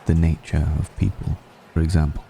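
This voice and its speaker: A man playing a suave, ancient aristocratic vampire in an intimate ASMR roleplay, musing slowly and deliberately with long pauses. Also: steady tone, dark tone, a little hiss or hum. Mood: musing, aloof. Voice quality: very deep, gravelly.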